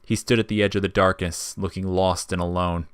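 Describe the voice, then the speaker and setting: A man's voice: deep voice, flat delivery, fairly quick, clear, resonant tone, dry recording, clean studio sound. A man reading scripted narration for an audio fiction story.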